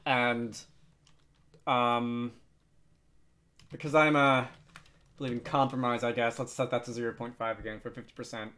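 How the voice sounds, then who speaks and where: Man, tutorial host, mid-range voice, casual explaining, hesitant, thinking out loud, wry asides. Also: mid-range voice, level tone, normal speed, pauses often. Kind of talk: casual screen-recorded software tutorial.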